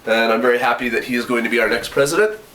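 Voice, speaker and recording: A man's voice: medium pitch, racing, pushed and bright. A young man with a cold, sounding a bit nasal, giving chatty application advice in his kitchen with lots of ums. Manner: chatty, many ums and self-corrections. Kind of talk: casual advice vlog.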